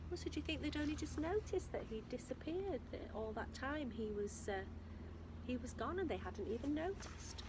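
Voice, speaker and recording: A woman's voice: high voice, expressive, moderate pace, noisy background. A woman reads a picture book aloud to young children, expressively and emphatically, chatting to her listeners as she goes. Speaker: infant school storyteller.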